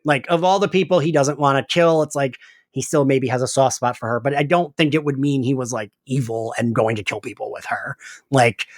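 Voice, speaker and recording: A man's voice: medium pitch, steady tone, fairly quick, no room sound, studio quality. A man co-hosting a podcast, chatting casually and emphatically as a fan, often slipping into mock dialogue. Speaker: podcast co-host.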